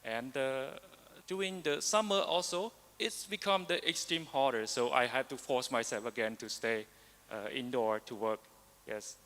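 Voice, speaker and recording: Man, halting delivery, average pitch, long hall reverb. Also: a bit bright. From Cambodia. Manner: halting, many hesitations. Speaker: embassy delegate. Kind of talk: panel sharing. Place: large conference hall.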